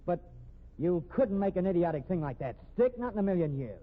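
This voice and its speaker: An actor in a 1950s radio mystery playing an indignant, scheming newspaper reporter who demands and needles. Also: male voice, high-pitched, big pitch swings, fairly quick. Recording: tinny band-limited old radio recording.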